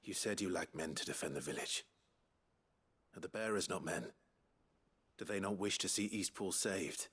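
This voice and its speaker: Very deep, husky warrior lord. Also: male voice, moderate pace, long pauses, a bit bright. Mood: grave, earnest. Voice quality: husky, gravelly. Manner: terse, understated, grave. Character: brooding, resolute young nobleman warrior, terse and earnest. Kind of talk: fantasy video game voice acting.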